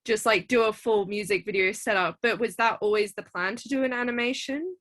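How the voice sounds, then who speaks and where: A woman with a bright, clear-edged voice interviews a guest in easy conversation. She talks in a chatty, eager way, filling her questions with "like" and warm encouragement. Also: mid-range voice, brisk. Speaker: interviewer, show host. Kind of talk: casual interview chat.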